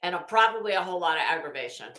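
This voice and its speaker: A woman's voice: fairly low pitch, expressive, rapid-fire, sharp tone. A woman host chatting casually and bluntly with viewers on a livestream, informal and talkative. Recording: echoey room.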